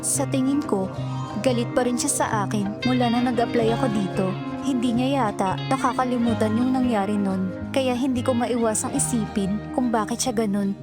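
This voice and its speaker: Put-upon young office woman played by an actress, Filipino accent, reflective natural read, higher pitch, music prominent. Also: normal speed, lightly acted. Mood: pensive. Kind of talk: Filipino audio drama acting. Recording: loud music over the voice.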